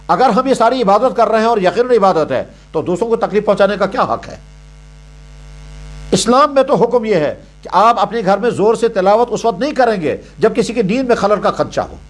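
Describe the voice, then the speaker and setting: A man giving religious guidance in a didactic, emphatic way, repeating points in parallel phrases. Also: high-pitched. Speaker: Islamic scholar answering religious questions. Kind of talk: religious question-and-answer sermon.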